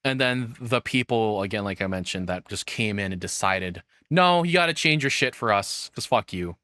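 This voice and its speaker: A man's voice: fairly low pitch, expressive, normal speed, bright, forceful tone, no echo. A man giving casual, opinionated reaction commentary as a streamer, rambling bluntly and swearing freely.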